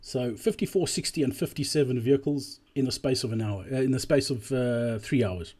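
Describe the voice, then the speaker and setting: A man giving an explanatory business presentation as a voice-over, speaking deliberately and emphatically and repeating key phrases, with a slightly husky voice. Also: medium pitch, a little mellow. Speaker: presenter analysing drive-through performance.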